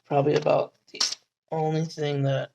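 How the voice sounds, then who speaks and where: A man with a raspy, hoarse voice, rambling casually about a knife with lots of fillers and swearing. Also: fairly high pitch, little pitch movement, moderate pace, muffled recording, background noise. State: hoarse at night. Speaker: knife reviewer. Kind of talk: casual talk to camera.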